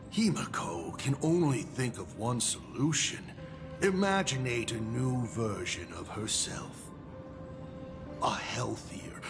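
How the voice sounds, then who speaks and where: An actor playing a sly, enigmatic doctor in anime game style, theatrical and knowing, with a playful storyteller's manner. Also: male voice, medium pitch.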